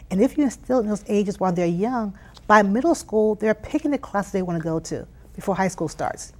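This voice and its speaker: A woman's voice: medium pitch, a little mellow. Earnest American woman explaining in an interview. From Philadelphia, United States. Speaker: interview guest, youth STEM program leader. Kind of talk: conversational interview answers.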